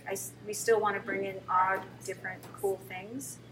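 A woman's voice: mid-range voice, little pitch movement, moderate pace, noisy background. An older woman, a shop owner, talking casually in an interview with fillers and restarts.

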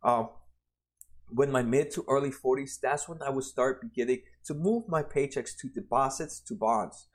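A man's voice: mid-range voice, normal speed. A man in his twenties explaining retirement funds over slides in a casual way, often restarting and adding "right?". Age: 20s. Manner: conversational, many restarts and "right?" tags. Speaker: personal finance explainer.

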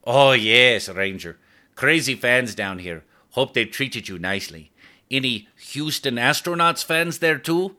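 A man voicing a chatty, excitable middle-aged cab driver with a thick Spanish accent. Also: medium pitch, a little slow, pitched above the performer's own voice. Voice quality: thick accent, eager and talkative.

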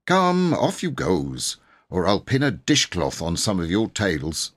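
A man's voice: low-pitched, wildly swooping pitch, takes it slowly, a bit bright, slightly rough, heavily acted. A man voicing a cross, busy Edwardian nursemaid in an audiobook, scolding in ungrammatical servant speech. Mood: cross, brisk.

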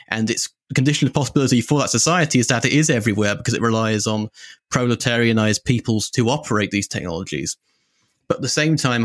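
A man's voice: mid-range voice, dry recording. A man with a mid-range voice hosts an academic podcast conversation. He builds long, winding questions and feels for the right phrasing, recorded dry with no audible room. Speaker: podcast interviewer.

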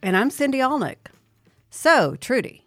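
Lively woman podcast co-host. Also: high voice, measured pace, no echo, faint music behind. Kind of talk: podcast banter.

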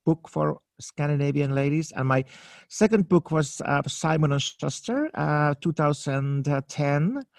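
A man in his fifties with a Finnish accent speaks English as a second language, pausing and restarting as he reaches for words. His voice has a gravelly rasp, and the recording is dry and close. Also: a little high, animated, no room sound. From Finland. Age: 50s. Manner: halting, searching for English words with many fillers and restarts. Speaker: makeup artist guest. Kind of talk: casual interview answers.

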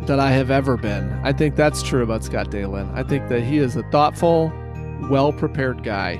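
A man's voice: mid-range voice, moderate pace, music in the background. A man co-hosting a podcast in casual chat, bantering and poking fun at himself. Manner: self-deprecating, bantering. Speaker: podcast co-host.

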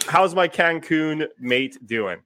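A man's voice: on the high side, animated, slow, fairly bright tone, dry recording, clean studio sound. A man hosting a podcast in loose, joking banter, often stumbling over his words. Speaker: podcast host.

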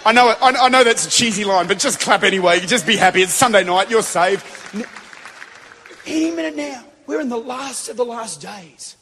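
A man preaching a Pentecostal sermon to a congregation in a large church, fervent and building to shouted declarations. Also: very high voice, expressive, brisk, a faint crowd, slight background noise. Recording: long reverb of a big hall.

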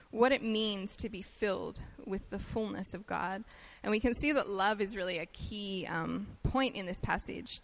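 A woman with an Australian accent giving a conversational sermon, chatty and quick to laugh at herself. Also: mid-range voice, slight background noise, rough recording. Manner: chatty and self-deprecating, laughs easily. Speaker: guest preacher.

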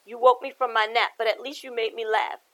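A woman's voice: fairly high pitch, flat delivery, brisk, fairly bright tone, lightly acted. A woman voicing a big proud male lion in a children's story. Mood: gruff.

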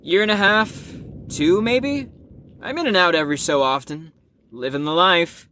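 A woman's voice: lowish voice, moderate pace, pushed and bright, slight background noise. A woman playing a brash, rebellious young slacker in an audio drama, teasing and sarcastic in slangy speech. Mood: bored, cocky.

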